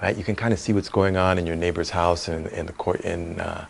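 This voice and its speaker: Low-voiced middle-aged American man. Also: fairly even intonation, a little fast, gravelly, a little hiss or hum. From Cleveland, United States. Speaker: real estate executive being interviewed. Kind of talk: conversational interview.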